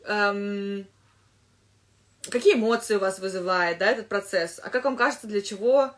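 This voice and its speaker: A woman English teacher giving casual advice to fellow teachers, thinking aloud with lively asides. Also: mid-range voice, normal speed.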